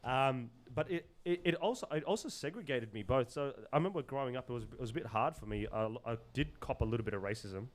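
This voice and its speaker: A man from Zimbabwe with an Australian accent, talking earnestly and haltingly in casual podcast conversation, often repeating words as he searches for phrasing. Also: mid-range voice, expressive. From Zimbabwe, moved to Australia as a child. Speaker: podcast guest.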